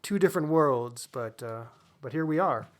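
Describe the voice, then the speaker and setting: A man's voice: on the high side, animated, normal speed. A man co-hosting a podcast in casual, conversational chat. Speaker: podcast co-host.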